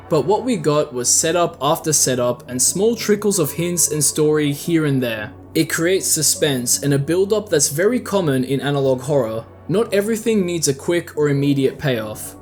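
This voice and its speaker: A man with a slightly high voice narrates a scripted video essay. He speaks conversationally, offering opinions and casual asides as he goes. Music plays underneath his commentary. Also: a little mellow. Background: background music.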